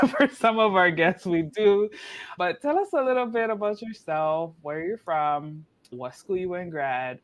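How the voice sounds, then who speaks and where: A man hosts a podcast panel, chatty and upbeat as he brings his guests on. His voice sits a little high. He mixes Hawaiian words into his English. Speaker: podcast host.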